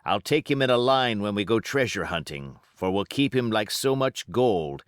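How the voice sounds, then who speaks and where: Audiobook role: male reader as a sly, smooth-talking old-salt pirate, low pitch, swinging intonation, rustic sailor grammar. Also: lively intonation, moderate pace, no echo, clean studio sound, natural voice. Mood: sly, menacing. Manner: rustic seafaring speech with ungrammatical turns. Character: cunning one-legged male pirate, folksy, scheming, smooth-talking. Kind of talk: audiobook character dialogue.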